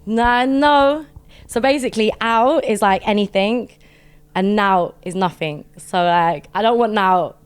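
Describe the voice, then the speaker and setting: A woman's voice: medium pitch, unhurried, smooth. A woman with a London accent interviewing a fighter in a casual, teasing way, laughing often. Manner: giggly, teasing.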